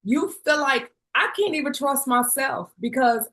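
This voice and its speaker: A woman's voice: sits high, expressive, unhurried, a bit bright. A woman hosting an interview, asking reflective questions and often affirming the guest. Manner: affirming, keeps saying yes. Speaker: podcast host.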